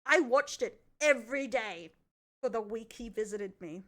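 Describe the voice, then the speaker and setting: A woman's voice: a little high, animated, normal speed, fairly bright tone. A woman with an Australian accent giving casual, chatty live reactions as a streamer, giggly and blunt with her swearing.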